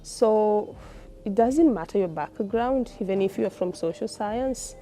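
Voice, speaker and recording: Female, Tanzanian accent, soft dark tone. Student testimonial, informal and explanatory. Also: medium pitch, unhurried, faint music behind. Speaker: postgraduate student.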